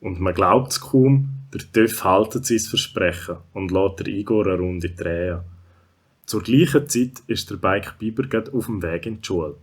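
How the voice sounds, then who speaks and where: A man's voice: sits low, a little slow. A man with a Swiss German accent narrating a scripted children's story.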